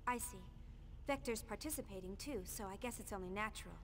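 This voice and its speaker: A woman's voice: medium pitch, fairly quick, a little hiss or hum, close to an everyday voice. A woman voicing a polite, wistful young female scientist in a video game cutscene. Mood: reserved. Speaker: game voice actor. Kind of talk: English game cutscene acting.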